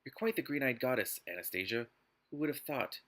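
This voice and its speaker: Curt, wealthy businessman and teasing lover, read by a man, forceful novel-reading voice. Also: medium pitch, expressive, brisk.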